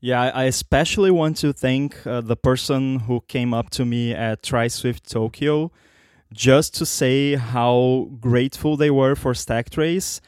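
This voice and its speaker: A relaxed man co-hosting a podcast, mostly giving short replies and laughing easily. His mid-pitched voice is smooth and resonant, and it is recorded close and dry, with no room sound. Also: a little slow, dry recording. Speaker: podcast co-host. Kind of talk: casual chat.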